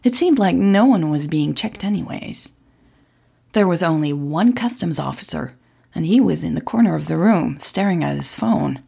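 Low, creaky-voiced woman narrating a story. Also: lively intonation, moderate pace, warm tone. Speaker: audio drama narrator.

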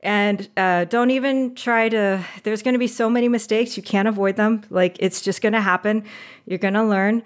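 A woman's voice: mid-range voice, moderate pace. Chatty woman laughing as she talks. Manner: animated, chatty, laughs often. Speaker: podcast guest, audio drama producer. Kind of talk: casual interview chat.

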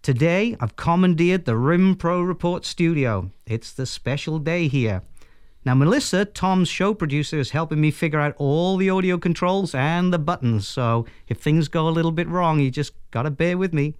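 A man with a Welsh accent hosting a podcast in a playful, upbeat way. His voice is fairly high and smooth, and the sound is dry and close, with no room around it. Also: moderate pace, dry recording. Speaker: guest host for the day, software executive.

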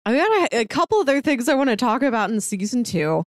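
A woman's voice: a little high, sing-song, fast, no room sound. Woman chatting casually, close dry sound. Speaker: podcast co-host. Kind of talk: casual TV recap discussion.

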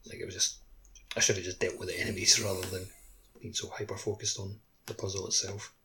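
Man, lowish pitch, casual podcast co-host, loose halting phrasing, conversational. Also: level tone, sharp tone, a little hiss or hum. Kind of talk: casual gaming podcast chat.

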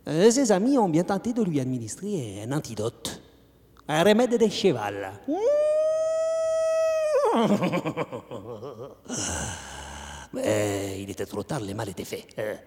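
A man's voice: medium pitch, very animated, normal speed, background noise, close to an everyday voice. An actor playing an eccentric, punning male concierge poet who talks in a drawling, theatrical way with whispered asides. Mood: sly, ironic. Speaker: interrogated suspect. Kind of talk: theatrical monologue acting. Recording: long reverb with faint music.

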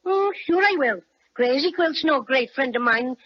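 Casting: little kindly talking bear, high voice, vintage children's radio drama, dull recording. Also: expressive, moderate pace. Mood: helpful, boastful. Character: small gruff, kindly talking toy bear. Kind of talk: old-time children's radio serial acting.

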